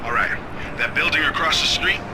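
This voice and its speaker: A man's voice: a little high, moderate pace, noisy background, natural voice. An actor playing a casual, worried male sidekick in a video game. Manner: colloquial, worried. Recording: loud music over the voice.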